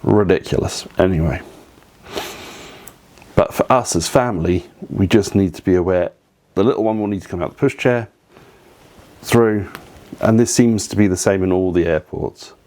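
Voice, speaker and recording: Chatty man, low voice. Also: normal speed, long pauses, a little mellow. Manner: chatty, opinionated, with frequent ums and asides. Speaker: family travel vlogger. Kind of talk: casual advice talk to camera.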